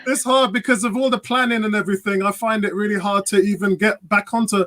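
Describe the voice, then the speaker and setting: A middle-aged man, chatting casually as a guest on a football fan panel and giving shout-outs. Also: high-pitched, fairly even intonation, fast talker.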